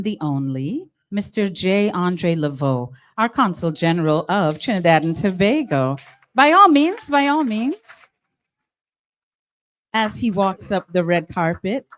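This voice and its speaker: A woman from Guyana with a Guyanese accent, hosting a live charity evening as mistress of ceremonies and coaxing the crowd. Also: fairly low pitch. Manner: lively, coaxing the audience.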